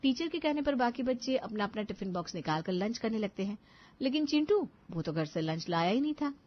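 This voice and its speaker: A woman's voice: fairly high pitch, big pitch swings, a little fast. Woman narrating a story, slightly dull sound. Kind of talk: scripted Hindi moral-story narration. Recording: dull lo-fi audio.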